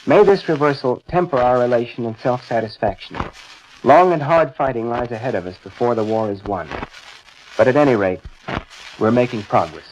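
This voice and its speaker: A man giving a scripted 1940s radio war news commentary in a measured, formal, earnest manner. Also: medium pitch, darkish tone. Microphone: radio studio microphone. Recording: noisy, muffled 1940s radio recording.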